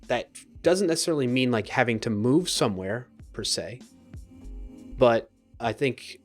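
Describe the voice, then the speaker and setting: A man with an ordinary mid-range voice talks casually through a live coding session. He muses out loud, trails off and restarts his sentences, and answers viewers as he goes. Soft music plays faintly underneath. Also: medium pitch, expressive, measured pace. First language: English. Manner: casual, thinks aloud, frequent fillers and trailing restarts. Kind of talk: live coding stream chat, reading viewer comments aloud.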